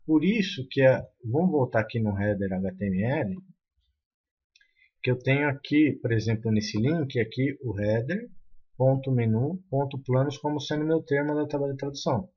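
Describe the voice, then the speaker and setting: A man's voice: mid-range voice, normal speed, husky, lo-fi sound. A man with a Brazilian accent explaining a coding tutorial step by step, with frequent fillers and restarts. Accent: Brazilian Portuguese. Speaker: programming tutor.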